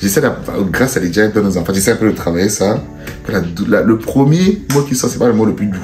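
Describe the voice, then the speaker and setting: A man from Cameroon chatting casually with his wife as co-host, talking fast with lots of restarts. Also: on the low side, soft background music. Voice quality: fast and animated, with many restarts. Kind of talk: casual couple chat. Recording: echoey room.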